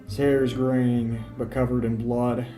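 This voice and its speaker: A man's voice: medium pitch, level tone, measured pace, dark tone, natural voice. A man playing an eager, self-pitying small-town police detective who talks to himself in an amateur noir radio drama. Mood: businesslike, observing. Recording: roomy echo, music behind.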